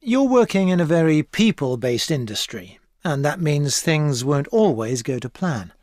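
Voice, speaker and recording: An actress playing an experienced tutor advising a student in a scripted exam dialogue, calm and measured. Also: female voice, low-pitched, no room sound. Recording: dry studio recording.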